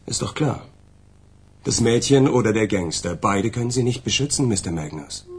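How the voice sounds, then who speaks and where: An actor playing a smug federal agent in a radio crime drama, insinuating and coolly menacing. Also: male voice, lowish voice, animated, moderate pace, a little mellow, slightly rough, soft background music. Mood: coldly threatening.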